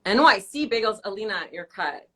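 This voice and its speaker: A woman's voice: medium pitch, animated, a little fast, fairly bright tone. A young woman from Canada hosting a casual live-stream interview, teasing her guest and laughing often. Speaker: host.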